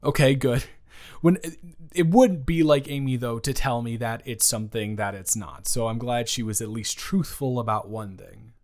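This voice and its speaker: A man playing an awkward, earnest ex-boyfriend in an improvised romantic roleplay, speaking haltingly and stammering to the listener. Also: medium pitch, a little mellow, lightly acted. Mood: awkward, playful. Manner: halting, stammering, with long pauses. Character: awkward, earnest, teasing young ex-boyfriend trying to reconcile.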